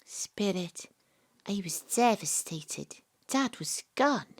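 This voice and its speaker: A woman's voice: medium pitch, animated, slow pace. An actress playing an earnest, troubled young Welsh woman who becomes a rugby captain, in an audio drama. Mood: haunted, remorseful. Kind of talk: audio drama monologue and dialogue.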